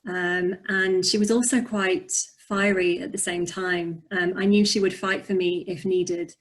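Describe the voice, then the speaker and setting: Young woman w/ a slight yorkshire accent, quiet n thoughtful, lots of ums, on a video call. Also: on the low side, fairly even intonation, a little slow. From north of England. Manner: soft-spoken, reflective, frequent ums. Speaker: poet and author. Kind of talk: conversational interview answers.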